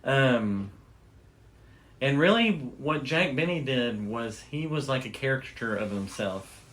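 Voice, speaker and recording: A man's voice: mid-range voice, lively intonation, a little slow, a bit bright. Man chatting as an enthusiastic show host. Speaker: podcast host. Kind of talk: casual chat about radio history.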